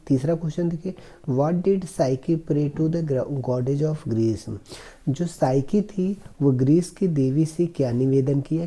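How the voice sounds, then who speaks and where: A man teaches an exam-prep English lesson, his voice very dark and mellow. He reads each English line slowly and deliberately, then switches to an easy explanatory tone to unpack it. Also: fairly high pitch.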